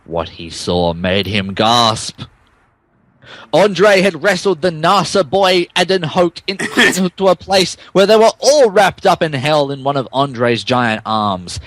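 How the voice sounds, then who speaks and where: Slow, higher-pitched man narrating mock-dramatically. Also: lively intonation, fairly bright tone, no echo. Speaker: podcast narrator. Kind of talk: mock-dramatic reading of wrestling fan fiction.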